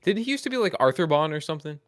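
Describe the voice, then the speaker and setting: A man's voice: a little high, animated, rapid-fire, no room sound, studio quality. A man co-hosting a podcast in casual, slangy banter, teasing his friends playfully as he steers the discussion.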